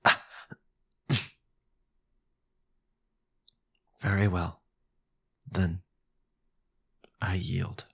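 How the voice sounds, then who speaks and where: A man playing a sinister, possessive vampire lord with a gravelly, hissing voice in an audio roleplay. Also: deep voice, level tone, drawn-out words, fairly bright tone, heavily acted. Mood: grudging. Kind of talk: audio roleplay villain acting.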